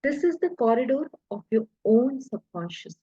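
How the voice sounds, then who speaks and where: A woman leading a guided hypnosis relaxation in a soothing, deliberate way, pausing between short phrases, with a husky, breathy voice. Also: a little high, lively intonation, warm tone, no room sound, dull audio.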